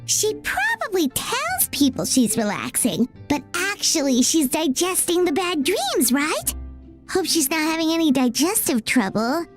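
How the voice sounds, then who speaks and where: A woman voicing a tiny, chirpy female fairy companion who talks about herself in the third person, with a high, squeaky, childlike voice, over game music. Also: big pitch swings, measured pace, exaggerated voice. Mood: curious. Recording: game audio with music underneath.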